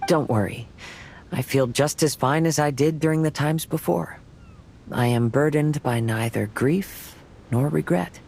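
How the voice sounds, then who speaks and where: Gravelly-edged, serene young wanderer. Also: male voice, on the high side. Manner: calm, polite, measured phrasing. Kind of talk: video game character voice acting.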